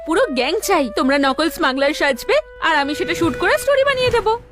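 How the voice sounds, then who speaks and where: A woman playing a bossy, scheming, excitable young cartoon news reporter, brash and impatient. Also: high voice, fast talker. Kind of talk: animated cartoon dub acting. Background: background music.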